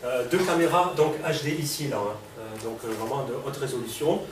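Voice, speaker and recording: A man with a cold demos a robot to a live audience, casual and full of fillers, often asking the room questions. His voice sits at a middling pitch. He is recorded from a distance in a reverberant hall, with clear background noise. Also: lively intonation, brisk. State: has a cold. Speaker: robotics presenter. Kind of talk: live technical demo to an audience.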